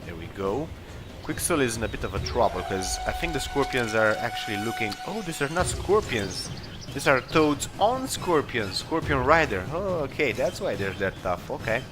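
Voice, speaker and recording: A man's voice: medium pitch, sing-song, normal speed. A man giving casual, improvised commentary on a game, hesitantly thinking aloud as he decides his moves. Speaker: let's play host. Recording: game music under commentary. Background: video game music and battle sounds.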